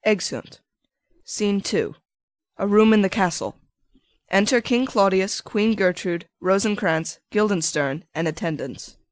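A woman's voice: mid-range voice, animated, unhurried, no echo. A woman narrator reading out stage directions in a scripted style.

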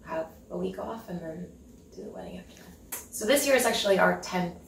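Norwegian woman in her thirties, chatty interview guest, low and a bit gravelly, recorded at a distance. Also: fairly quick, a little hiss or hum. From Norway. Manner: chatty, giggly, lots of ums and restarts. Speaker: interview guest, concert pianist. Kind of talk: casual interview chat. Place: home living room with piano, indoors. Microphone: far camera mic. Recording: echoey room, mic at a distance.

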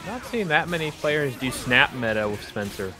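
A man's voice: medium pitch, very animated, moderate pace. Male co-caster over prominent music. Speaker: esports co-commentator. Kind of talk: casual fighting game analysis. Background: game music under the commentary.

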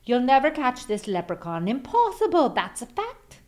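A woman's voice: high-pitched, wildly swooping pitch, moderate pace, darkish tone. A woman voicing a cocky, mischievous little old leprechaun who taunts in singsong storybook rhymes. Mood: gleeful, boastful.

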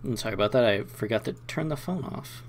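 A man's voice: medium pitch, fast talker, creaky, slight background noise. A man hosting a let's play, mixing casual game commentary with reading story text aloud.

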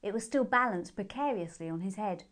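A woman's voice: mid-range voice, lively intonation, a little fast, a bit bright. A woman with a British accent reading storybook narration aloud expressively for children.

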